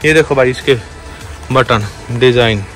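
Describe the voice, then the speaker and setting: A young man giving a repetitive, pushy sales pitch for wholesale coats in a big indoor warehouse, filmed on a handheld phone. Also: measured pace, fairly bright tone, faint music behind. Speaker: wholesale clothing seller. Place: large indoor clothing warehouse.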